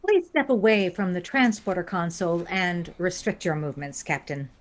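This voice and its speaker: A woman's voice: lowish voice, animated, moderate pace, slight background noise, close to an everyday voice. A woman playing a coldly logical alien science officer in an audio drama, speaking formally and precisely. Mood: stern.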